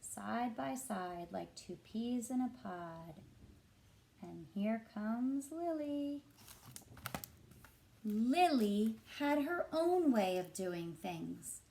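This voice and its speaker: A woman reading a picture book aloud to children as a teacher, in an expressive, deliberate and engaging way. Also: fairly high pitch, gravelly, a little hiss or hum. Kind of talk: expressive storybook narration for children.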